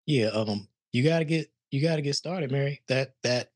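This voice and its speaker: A man's voice: mid-range voice, normal speed, no room sound. Man, 40s, coach on video call, blunt and pushing, dry close sound. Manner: direct, pushing, repeats words. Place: living room at home. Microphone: studio mic on arm, video call.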